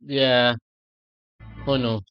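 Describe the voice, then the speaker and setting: A game streamer giving casual gameplay commentary in halting, muttered reactions. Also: mid-range voice, flat delivery, highs cut off. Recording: game music clearly behind.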